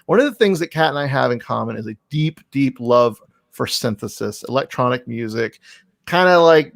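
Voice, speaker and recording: A man's voice: medium pitch, creaky, no echo, studio quality. A man hosting a casual podcast interview, talking in an effusive, rambling, self-deprecating way. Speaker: podcast host.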